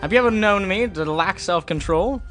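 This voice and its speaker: A man's voice: high voice, normal speed, fairly bright tone, soft background music. A man playing a bold, self-assured young adventurer, brusque and self-important, in an audio drama. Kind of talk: amateur audio drama acting.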